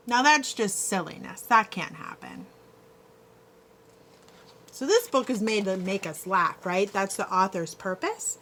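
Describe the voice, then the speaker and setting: Female elementary teacher for story time: slow, mid-pitched, explanatory, with asides and little check-in questions. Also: a bit bright. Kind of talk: picture-book read-aloud with teaching asides.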